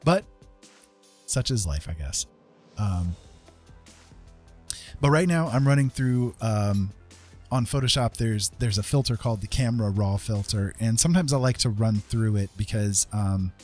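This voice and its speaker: Man, low pitch, casual livestream talk, meandering, self-deprecating, light music underneath. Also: animated, unhurried, pauses often, a little mellow. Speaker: livestream host and digital artist. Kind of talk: casual livestream chat while painting. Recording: music playing behind the voice.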